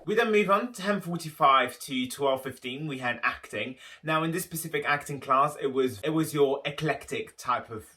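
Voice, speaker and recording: A man's voice: on the high side, moderate pace, hardly any pauses, sharp tone. A young man with a London accent, chatting casually to camera as a video host, enthusiastic and explaining things in long run-on stretches. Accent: London English. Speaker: YouTube host.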